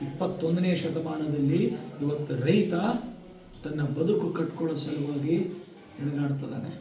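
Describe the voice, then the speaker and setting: A man giving a motivational speech to students, emphatic, with rhetorical repetition and deliberate pauses. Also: on the high side, steady tone, warm tone. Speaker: guest speaker addressing schoolchildren. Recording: echoey, distant microphone, faint noise.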